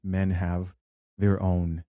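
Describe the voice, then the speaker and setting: A man hosting an unscripted commentary show, chatty and animated as he reads viewer comments aloud. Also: deep voice, extremely slow, no echo, studio quality. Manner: chatty, animated, with frequent fillers and restarts. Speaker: host.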